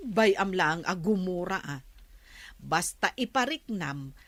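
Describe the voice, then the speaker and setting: A woman playing a teasing, gossipy confidante in an audio drama, with a playful, expressive delivery. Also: fairly low pitch, slow, exaggerated voice. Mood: confiding. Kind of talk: Filipino audio drama acting.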